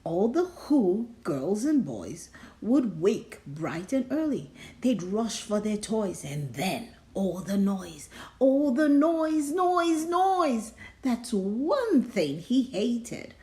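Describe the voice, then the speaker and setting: A woman's voice: medium pitch, very animated, slow, mellow. A woman reading a children's storybook aloud, theatrically drawing out and stressing words. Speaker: storybook reader. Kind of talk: expressive children's read-aloud.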